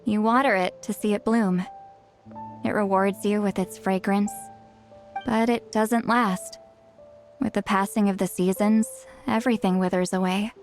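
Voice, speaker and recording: A woman voicing a centuries-old shaman in a video game, halting and awkward, aloof but secretly lonely. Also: medium pitch, lightly acted. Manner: halting, flustered hesitations turning wistful.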